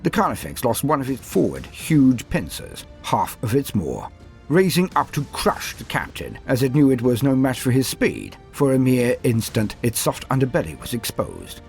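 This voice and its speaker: A man narrating a war story in a dramatic, scripted style with deliberate phrasing. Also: mid-range voice, big pitch swings, darkish tone, faint music behind.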